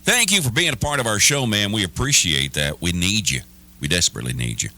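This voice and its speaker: A man hosting a morning radio show with lively, emphatic, joking banter on a close studio mic. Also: lowish voice, fast. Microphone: close broadcast studio mic.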